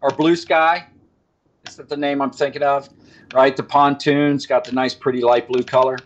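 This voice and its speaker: A man's voice: mid-range voice, level tone, moderate pace, dull audio. A man calling in from a car as a podcast guest, chatting casually in a jokey, wandering way. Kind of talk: casual rambling storytelling and chat.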